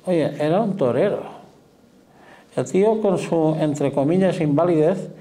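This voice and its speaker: Measured middle-aged moderator. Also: male voice, a little high, warm tone. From Spain. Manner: measured, deliberate, storytelling. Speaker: club president, moderator. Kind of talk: moderating a talk.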